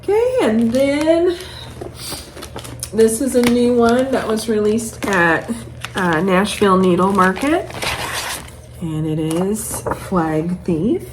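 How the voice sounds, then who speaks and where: A woman's voice: medium pitch, expressive, slow pace, long pauses. A woman chatting casually to camera as a craft vlogger, gushing and thinking aloud as she shows off patterns. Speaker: cross-stitch vlogger showing patterns. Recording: clearly noisy room ambience.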